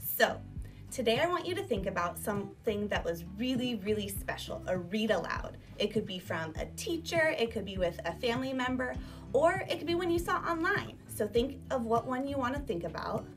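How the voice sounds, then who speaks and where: A woman's voice: a little high, moderate pace. A woman teacher giving an emphatic, instructional talk to young children, asking them questions as she goes. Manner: emphatic, animated teacher delivery with rhetorical questions. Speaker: elementary school teacher. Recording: distant microphone, music behind.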